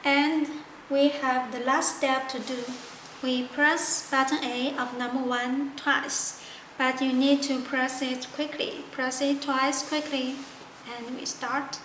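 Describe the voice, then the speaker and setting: A high-voiced woman demonstrates a product step by step. She speaks slowly in halting, simple non-native phrasing. The recording has clear room echo. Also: level tone. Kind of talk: step-by-step tutorial narration. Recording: echoey room with loud background noise.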